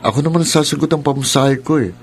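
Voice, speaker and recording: A man's voice: on the high side, quick pace, slight background noise, natural voice. An actor playing an easygoing, lovestruck male college student in a radio drama. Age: young adult. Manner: easygoing, pleading.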